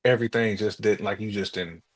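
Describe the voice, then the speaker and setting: A man's voice: fairly low pitch, steady tone, fairly quick. An American man co-hosting a podcast, giving opinions in an informal, slangy, conversational way. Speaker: podcast co-host. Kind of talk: casual chat, giving opinions.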